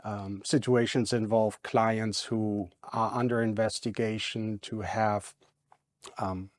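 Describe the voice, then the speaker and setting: A man from Germany with a German accent, a guest attorney in a studio interview who talks haltingly with many fillers and restarts. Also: fairly low pitch, fairly even intonation, no echo.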